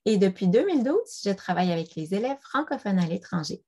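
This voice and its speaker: A woman's voice: medium pitch, big pitch swings, brisk, dark tone, breathy edge, no room sound. A woman who hosts a podcast and works as a learning specialist. She asks friendly questions and fills gaps with "euh". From French Canada. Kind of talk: conversational interview. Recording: dull, dry, lo-fi sound.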